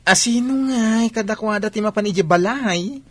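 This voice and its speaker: A man's voice: high voice, moderate pace, fairly bright tone. A man playing a worried young groom-to-be in an Ilocano radio comedy drama. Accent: Filipino, Ilocano.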